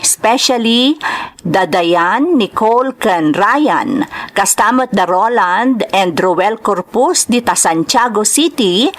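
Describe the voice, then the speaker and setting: A woman with an Ilocano accent hosting a drama programme, reading out greetings and a listener's letter. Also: medium pitch. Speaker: host and drama narrator.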